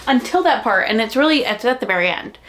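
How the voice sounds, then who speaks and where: A woman's voice: fairly high pitch, quick pace. Chatty young woman with audible background noise. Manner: animated, gushing, dramatic emphasis. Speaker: book reviewer and vlogger. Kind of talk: casual chatty book recommendation vlog.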